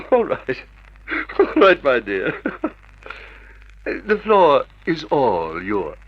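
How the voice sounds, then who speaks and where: An actor playing a giggly, teasing joke shop owner and husband in an old radio drama. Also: male voice, mid-range voice, wildly swooping pitch, extremely slow, no room sound, heavily acted. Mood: gleeful, teasing. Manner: teasing, constantly giggling and chuckling. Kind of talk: mid-century radio drama acting. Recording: muffled vintage radio drama recording.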